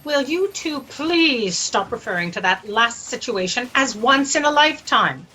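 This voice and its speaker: Woman doing bossy pompous space editor, sharp high voice, swoops around, bit noisy. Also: lively intonation, a little slow. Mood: imperious, exasperated. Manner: pompous, scolding. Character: imperious, exasperated female cosmic editor-in-chief. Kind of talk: sci-fi satire audio drama. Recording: slightly noisy video-call audio.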